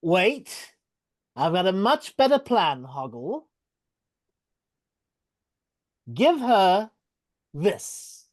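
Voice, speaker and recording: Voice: medium pitch, very animated, slow, dry recording. A performer voicing a cruel, mocking goblin king in a live reading over a video call. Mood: scheming. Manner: taunting, mocking with laughs.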